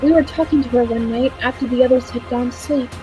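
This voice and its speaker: A woman's voice: fairly high pitch, steady tone, fast talker, dark tone, soft background music, natural voice, rough recording. A woman playing an earnest, thoughtful time traveller who narrates a radio play with dramatic storytelling. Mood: reflective, wistful.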